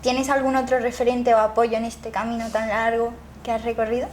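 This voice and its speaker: Soft-spoken woman host in an echoey room. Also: a little high, little pitch movement, a little fast. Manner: soft-spoken, attentive, brief backchannels. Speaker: podcast host. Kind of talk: interview questions. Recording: roomy with light noise.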